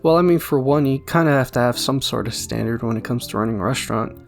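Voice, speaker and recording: A man's voice: mid-range voice, quick pace, gravelly. A man giving casual fan theory commentary, rambling and jokey with a self-deprecating streak. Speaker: YouTube video essayist. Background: background music.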